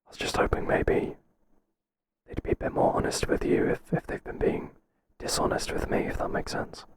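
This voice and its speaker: Casting: male actor as a soft, breathy, whispering boss for ASMR roleplay, tentative and flattering, with noticeable background noise. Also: close to an everyday voice. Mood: flattering, earnest. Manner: halting, tentative, confiding. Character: hesitant, flattering male boss confiding in an employee.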